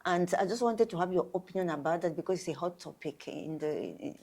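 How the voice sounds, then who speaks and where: Woman interviewer, lower pitch, hesitant, repeats words, lots of "yes". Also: brisk, darkish tone. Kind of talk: interview questions.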